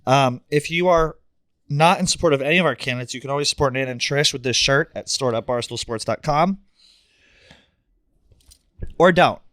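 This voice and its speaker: A man's voice: medium pitch, moderate pace, pauses often, a bit bright, no room sound. A man co-hosting a podcast, chatting casually in a brash, teasing way and swearing freely. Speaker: podcast co-host. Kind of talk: casual chat.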